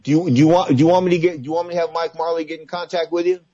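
A man's voice: a little high, level tone, racing, mellow, dull audio. A man in his sixties hosting a boxing radio show, effusive and sentimental, repeating his phrases for emphasis. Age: 60s. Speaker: radio talk show host.

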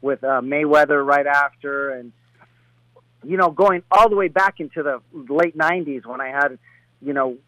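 A man's voice: on the high side, normal speed, bright, forceful tone, dry recording, low-quality recording. A middle-aged man from Colombia, a boxing trainer, talks casually as a guest. He rambles, with many restarts and fillers. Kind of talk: casual interview talk.

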